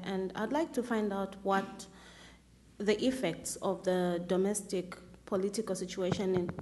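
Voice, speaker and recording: A woman's voice: mid-range voice, a little mellow, a little hiss or hum. A woman from Zambia, an audience member asking the panel an earnest question at a public forum.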